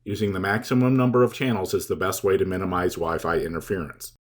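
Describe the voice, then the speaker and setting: A man reading scripted instructional narration as a tutorial presenter, explaining things in a measured, step-by-step way. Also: deep voice, steady tone.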